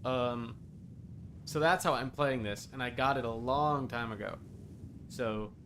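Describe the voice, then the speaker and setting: A man's voice: mid-range voice, unhurried, a bit bright, slight background noise. A man livestreaming a video game with casual, rambling, easily flustered chat. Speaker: game streamer. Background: game music.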